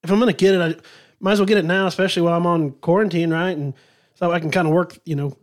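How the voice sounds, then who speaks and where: Guy on a podcast, bit high voice, rambles and restarts a lot. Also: steady tone, rapid-fire. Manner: rambling, hesitant, restarts sentences often. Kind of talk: casual podcast chat.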